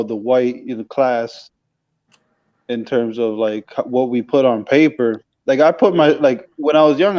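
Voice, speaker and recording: A man speaking as a podcast guest in casual discussion, soft-spoken and hesitant, often trailing off. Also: medium pitch, lo-fi sound. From Dominican Republic heritage.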